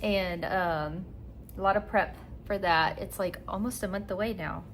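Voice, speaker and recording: A woman in her late twenties co-hosting a podcast in casual chat, easygoing and quick to laugh. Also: fairly low pitch, steady tone, slight background noise. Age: 20s. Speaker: podcast co-host.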